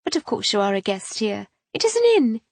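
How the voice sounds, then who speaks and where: A woman reading an audiobook, voicing an effusive young milliner who chatters in a high, sing-song voice. The recording is dry. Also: sing-song, brisk, vocal fry, slightly rough, no room sound, heavily acted. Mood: gushing.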